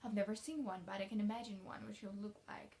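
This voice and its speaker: A woman's voice: medium pitch, fairly even intonation, quick pace, warm tone, lightly acted. A woman voicing a talkative, imaginative young orphan girl from a storybook, effusive and dreamy. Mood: dreamy, gushing.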